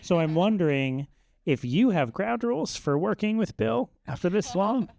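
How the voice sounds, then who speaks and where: Man moderator, gravelly, slightly high voice, dry sound. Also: animated, a little mellow, no room sound. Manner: friendly, measured questions. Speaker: panel moderator. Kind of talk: moderating a live Q&A. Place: live event stage.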